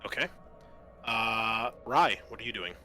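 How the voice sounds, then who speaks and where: A man acting as game master of a tabletop roleplaying game, talking in a halting, conversational and accommodating way. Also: on the low side, sharp tone, faint music behind.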